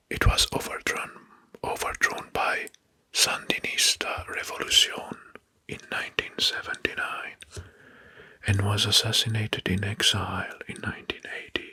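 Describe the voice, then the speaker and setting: A man whispers in a very breathy, husky ASMR narration, taking his time between phrases. His delivery is hushed and halting, with words softly repeated, and the whisper has a crisp, bright edge. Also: low-pitched. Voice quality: breathy, mostly unvoiced whisper.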